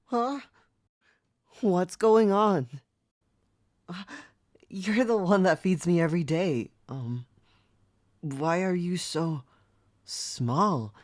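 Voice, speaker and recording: A man voicing a devoted pet who has turned into a young human, talking in an ASMR roleplay with soft, breathy whispers. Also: high-pitched, drawn-out words, pauses often, no room sound, clean studio sound. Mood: excited, joyful.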